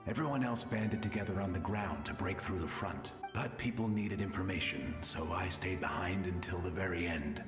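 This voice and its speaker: A man playing the gentle, resigned ghost of a selfless warrior in a video game, wistful, with ghostly reverb over music. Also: sits low, normal speed, few pauses. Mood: earnest. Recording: long hall reverb under prominent music.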